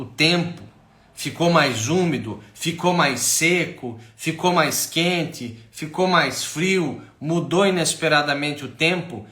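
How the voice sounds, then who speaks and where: A man giving a casual spoken health talk, animated, stacking lists and rhetorical questions with frequent self-corrections. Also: medium pitch, reverberant. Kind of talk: casual spoken lecture to camera.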